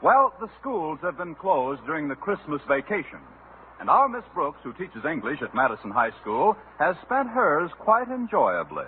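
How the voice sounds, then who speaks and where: A man's voice: on the high side, big pitch swings, normal speed. A man working as a radio announcer, reading a scripted show introduction in 1950s style. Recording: narrow, noisy 1950s radio recording.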